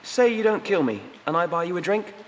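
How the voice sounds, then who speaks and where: High-pitched scrappy young alien hustler. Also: male voice, lively intonation, brisk, in a large hall, a little hiss or hum. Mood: wary, impressed. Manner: defiant, wary bargaining. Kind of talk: audiobook character acting.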